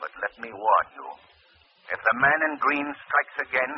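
An actor playing a stern, taunting invisible crime fighter, deliberate and menacing, in old radio mystery style. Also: male voice, medium pitch. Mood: accusing. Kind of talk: 1940s radio mystery acting. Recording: dull, noisy old radio recording.

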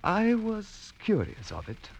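An actor playing an eager, curious young navy lieutenant in a classic radio drama, with a husky voice. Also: male voice, sits high, huge pitch swings, takes it slowly, a little hiss or hum, full character voice. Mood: smitten, curious.

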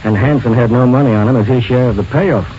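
Measured police lieutenant played by a man, muffled recording. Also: mid-range voice, lightly acted. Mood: businesslike probing. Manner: measured, official, insistent. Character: calm, methodical male police lieutenant questioning a suspect. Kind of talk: old-time radio drama acting. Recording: noisy, muffled vintage radio recording.

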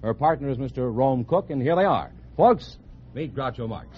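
A man's voice: medium pitch, animated, moderate pace, breathy edge. An American man working as the announcer on a 1950s radio show, introducing guests to the host. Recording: dull old radio recording.

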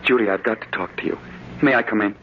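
An actor with an American accent playing a brooding, smooth-talking, scheming husband in a 1940s-style radio drama. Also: male voice, fairly low pitch, quick pace, natural voice. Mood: earnest, determined. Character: brooding, smooth-talking husband secretly plotting against his wife. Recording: muffled, noisy old radio broadcast recording.

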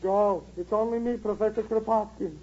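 An actor playing a fussy, put-upon older male professor in a 1940s radio sitcom, grumbling in a comic deadpan. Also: high voice, normal speed, close to an everyday voice. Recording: dull old radio broadcast, loud audience laughter and hiss.

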